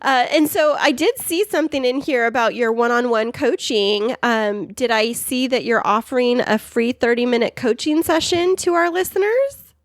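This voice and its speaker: A woman co-hosts a casual podcast, enthusiastic and playful as she steers the chat. Her voice sits a bit higher than most, and it sounds close and dry, with no room echo. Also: moderate pace. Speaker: podcast co-host.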